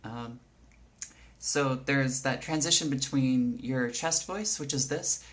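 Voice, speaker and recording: Low-voiced woman, rambling casual tips. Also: takes it slowly. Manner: relaxed, rambling with many fillers. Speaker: vlogger. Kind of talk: casual tutorial on voice and singing. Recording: faint background noise.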